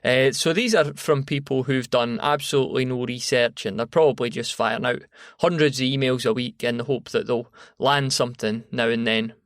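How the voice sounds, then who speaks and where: A man's voice: mid-range voice, steady tone, normal speed, dry recording, clean studio sound. A man with a Scottish accent giving an informal, dryly humorous voice message as a podcaster.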